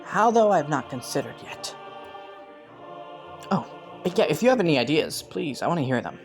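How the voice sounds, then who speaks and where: A man playing an ambitious young prince in a romantic fantasy audio roleplay, with formal, courtly phrasing and soft hesitations, scheming yet earnest. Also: fairly high pitch, sing-song, normal speed, faint music behind. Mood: thoughtful.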